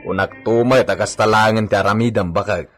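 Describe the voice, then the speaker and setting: A man's voice: on the low side, steady tone, fairly quick, a bit bright, soft background music, close to an everyday voice. An actor with an Ilocano accent playing a touchy, grumbling hacienda worker in a radio drama, blunt and challenging in his way of talking. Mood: defensive, boastful.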